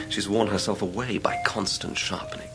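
An actor playing a charming, arrogant young gentleman with an upper-class English accent, teasing, in a radio drama. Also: fairly low pitch, fairly even intonation, moderate pace, over music, natural voice. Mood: teasing, languid. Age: young adult. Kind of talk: 1990s radio drama acting.

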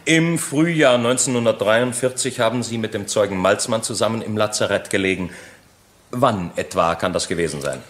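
A man's voice: fairly low pitch, moderate pace, a bit bright, lightly acted. A man playing a shrewd, methodical defence lawyer in a German crime radio drama, questioning formally and pointedly. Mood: probing. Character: shrewd, insistent male defence lawyer, methodical cross-examiner. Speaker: actor in a courtroom radio play.

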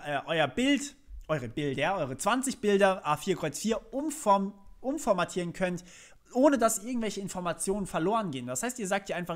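A young man with a notably high-pitched voice hosts a programming tutorial. He walks through each step in a casual, conversational way, posing questions to the viewer and answering them himself. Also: a bit bright. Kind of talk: informal screencast tutorial, explaining code step by step.

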